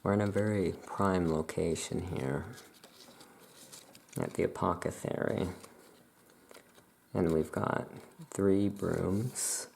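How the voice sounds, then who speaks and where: A soft-spoken ASMR host in a calm, unhurried shopkeeper roleplay, leaving long pauses between phrases. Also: low voice, vocal fry, slight background noise. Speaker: ASMR host playing a Salem witch shopkeeper.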